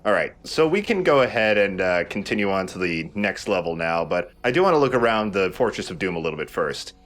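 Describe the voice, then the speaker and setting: Low-voiced man giving casual game commentary. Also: big pitch swings, a little fast, a bit bright. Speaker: let's play host. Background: game music.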